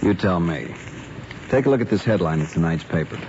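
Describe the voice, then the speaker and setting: An actor playing an earnest, formal middle-aged American father with a measured manner in radio drama style. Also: low voice, animated. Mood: grave, paternal. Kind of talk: 1950s radio drama acting. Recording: muffled old radio recording with hiss.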